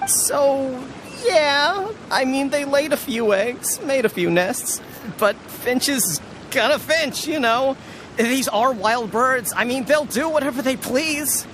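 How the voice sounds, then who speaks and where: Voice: high voice, expressive, slow pace, a bit bright, a little hiss or hum, full character voice. A voice actor playing a sheepish young village man who stammers and dodges blame. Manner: evasive, stammering, shrugging. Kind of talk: game voice acting. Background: game music.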